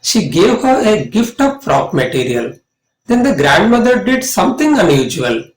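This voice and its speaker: A middle-aged man with an Indian accent narrates a lesson to students as a college English lecturer, in an emphatic, measured way. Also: sits high, big pitch swings. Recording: echoey room sound.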